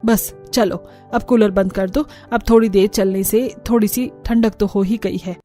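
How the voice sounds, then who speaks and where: A woman's voice: medium pitch, steady tone, normal speed, warm tone, close to an everyday voice. A woman voicing a thrifty middle-aged mother-in-law in a cartoon, speaking matter-of-factly. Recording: music under the voice.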